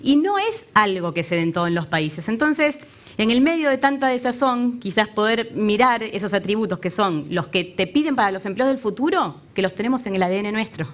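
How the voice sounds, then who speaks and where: A woman's voice: mid-range voice, lively intonation, a little fast. A woman in her thirties with an Argentine accent, a journalist speaking on a studio panel in an emphatic, assertive way. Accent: Argentine Spanish. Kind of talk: panel discussion. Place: TV studio set.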